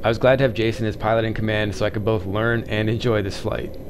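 A man's voice: lowish voice, fairly even intonation, quick pace, background noise. A man hosting a flying vlog, reading scripted narration in an enthusiastic manner. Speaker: vlog host and student pilot. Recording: music under the voice. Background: music.

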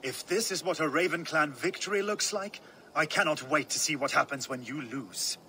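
An actor playing a proud, scolding older Viking warlord in a video game, commanding and old-fashioned in speech. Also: male voice, on the high side, normal speed, a little hiss or hum. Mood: furious, scornful. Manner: forceful, commanding, archaic phrasing. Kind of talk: video game dramatic acting.

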